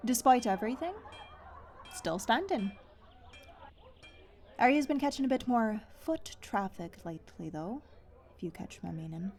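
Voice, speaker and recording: A woman playing a brisk, wry, guarded young outcast guide in a fantasy audio drama. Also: on the high side, lively intonation, darkish tone. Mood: wry banter. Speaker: voice actor. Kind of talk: fantasy audio roleplay acting.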